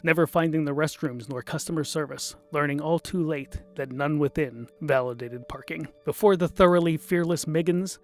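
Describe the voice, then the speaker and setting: A man narrating scripted comic fantasy as a storyteller, with dramatic, wry delivery and ornate phrasing. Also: fairly high pitch.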